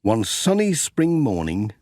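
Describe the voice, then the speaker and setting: A man reading a children's picture book aloud in gentle, sing-song narration. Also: fairly low pitch, huge pitch swings, a little slow, no echo, clean studio sound. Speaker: audiobook narrator. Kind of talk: scripted storybook narration.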